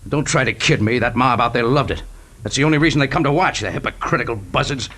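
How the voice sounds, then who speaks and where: An actor playing a bitter, hot-tempered stunt pilot in a 1940s radio drama, blunt and belligerent. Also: male voice, medium pitch, fast, sharp tone. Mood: angry.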